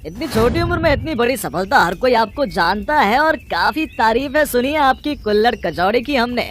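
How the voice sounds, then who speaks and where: A woman voicing an upbeat, curious male street-food interviewer in an animated story. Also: a little high, moderate pace, a little hiss or hum, close to an everyday voice. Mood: enthusiastic. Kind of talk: presenting, animated story acting.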